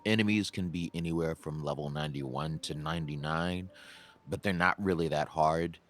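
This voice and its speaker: A man with an extremely deep bass voice chats casually on a livestream. He rambles, restarts his sentences, and jokes about himself. Faint music plays behind him. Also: normal speed, a bit bright. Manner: chatty, self-deprecating, rambling with restarts. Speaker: stream co-host relaying a guest.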